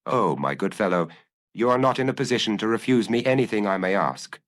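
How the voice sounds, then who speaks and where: A man acts out a hard-nosed ex-inspector in a detective audiobook. He speaks dryly and precisely, with animated pitch swings and a firm, commanding edge as he presses his mark. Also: a little fast, natural voice. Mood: brisk, self-assured. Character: dry, hard, commanding male ex-inspector blackmailer. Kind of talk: audiobook character acting.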